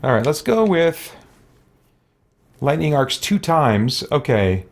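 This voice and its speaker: A man's voice: a little high, sing-song, slow, creaky, faint music behind. A man giving casual let's play commentary, thinking aloud and musing to himself as he goes. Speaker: gaming video host.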